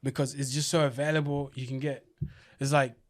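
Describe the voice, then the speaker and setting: A man's voice: medium pitch, flat delivery, brisk. A young man who grew up in Uganda and Australia, a podcast guest talking casually in street slang and leaning on "you know what I mean". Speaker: guest, event promoter. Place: podcast studio.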